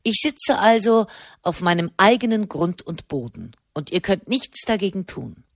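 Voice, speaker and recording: A woman's voice: medium pitch, big pitch swings, normal speed, vocal fry, no echo, clean studio sound. A woman voicing a large, aging nobleman who stays cheerful and unruffled while he teases, in a storybook reading. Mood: cheerfully smug.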